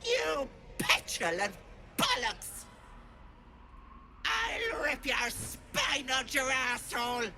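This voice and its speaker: A woman's voice: a little high, sharp tone, heavily acted. An actress playing a vicious, menacing old hag witch in a video game, with theatrical, sneering delivery. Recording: music behind the voice.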